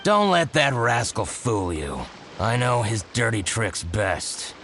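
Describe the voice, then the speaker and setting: An actor playing a spiteful, scheming shop owner in a video game, with exaggerated, grumbling delivery. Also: male voice, on the low side, sing-song, takes it slowly, a bit bright, heavily acted. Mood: indignant, scornful. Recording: music behind the voice.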